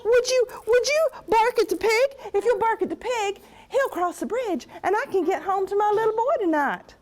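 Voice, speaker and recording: A woman's voice: extremely high pitch, lively intonation, moderate pace, pitched above the performer's own voice. A woman doing a pleading little old woman.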